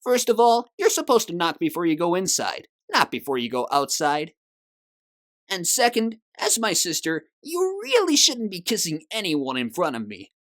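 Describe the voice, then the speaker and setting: A woman playing a goofy, theatrical teenage boy, a protective brother, in an animated comic dub. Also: fairly low pitch, moderate pace, no room sound, clean studio sound. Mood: mock indignant.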